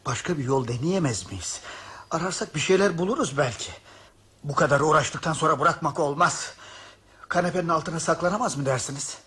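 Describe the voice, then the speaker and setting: Husky, high, urgent anxious man. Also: fairly quick, a bit bright. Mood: anxious, pleading. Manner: pleading, urgent. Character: anxious, insistent male passenger eager to help. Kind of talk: radio theatre acting.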